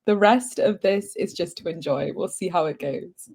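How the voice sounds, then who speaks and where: A woman's voice: lowish voice, normal speed, a little mellow, breathy edge. A young woman from Zimbabwe, answering interview questions in an earnest, upbeat and articulate way. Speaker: pageant contestant, guest.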